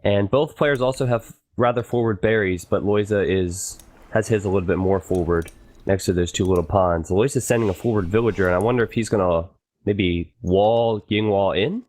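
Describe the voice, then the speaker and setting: Low-voiced relaxed male game caster. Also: moderate pace. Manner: relaxed, analytical, chatty. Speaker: esports caster. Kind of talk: live game commentary.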